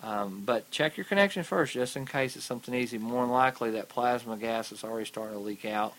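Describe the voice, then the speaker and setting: A man co-hosting a podcast, giving relaxed, practical advice on repairing arcade and pinball machines. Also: medium pitch, fast talker. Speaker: repair expert co-host. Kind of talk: casual technical advice.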